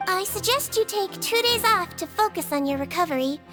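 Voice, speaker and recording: A woman voicing a gentle young girl who works as a caring head nurse, soft and reassuring in a game cutscene. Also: very high-pitched, animated, brisk. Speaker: nurse in a prison fortress. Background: game soundtrack music.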